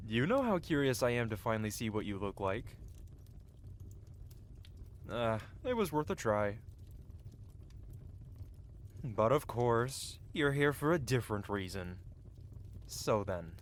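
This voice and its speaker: A man's voice: mid-range voice, slight background noise, lightly acted. A man playing a cocky, flirtatious medieval blacksmith in a fantasy ASMR roleplay, with a playful, teasing and theatrical way of talking.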